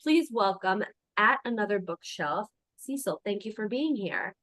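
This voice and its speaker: A woman's voice: medium pitch, lively intonation, a little slow, fairly bright tone, no room sound. A woman hosting a book podcast interview, asking questions and adding affirming mm-hmms. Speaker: podcast host.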